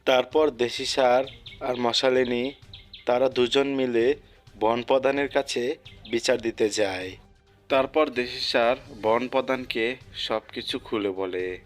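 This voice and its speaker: A man's voice: mid-range voice, steady tone, a little slow. A man narrating a cartoon folk tale as a scripted storyteller. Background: music.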